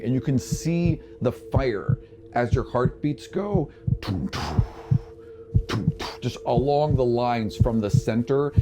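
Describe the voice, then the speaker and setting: A man narrating a tabletop game as game master, with vivid, drawn-out descriptive delivery. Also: mid-range voice. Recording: noisy, with ambient sound behind.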